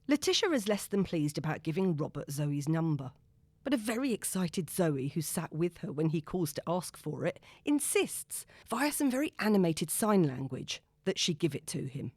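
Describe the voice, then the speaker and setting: A woman narrating a novel in a wry, expressive storytelling manner. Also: fairly low pitch, no room sound. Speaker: audiobook narrator.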